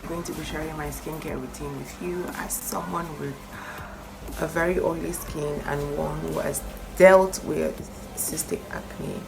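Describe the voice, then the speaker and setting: Woman, croaky morning voice, lowish pitch, chatty and emphatic, music playing underneath. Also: steady tone, measured pace, poor audio. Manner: chatty, emphatic, repeats advice. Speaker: skincare vlogger. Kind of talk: casual talk to camera.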